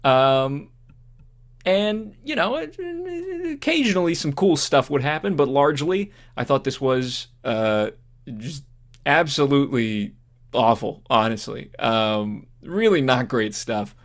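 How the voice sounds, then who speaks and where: A man's voice: mid-range voice, big pitch swings, slow. A man talks as a podcast co-host in a casual review style. His delivery is loose and conversational, often rambling and circling back as he works toward his point. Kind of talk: casual film review chat.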